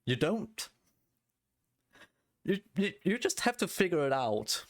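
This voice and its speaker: A man's voice: sits high, big pitch swings, slow. A man from Denmark with a Danish accent, chatting casually on a live stream while he codes, blunt, swearing often and laughing.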